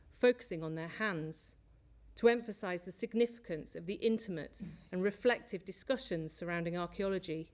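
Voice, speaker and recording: Woman giving an academic conference talk. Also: medium pitch. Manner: measured, reads from prepared notes with small slips. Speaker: conference speaker, lecturer. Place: lecture hall.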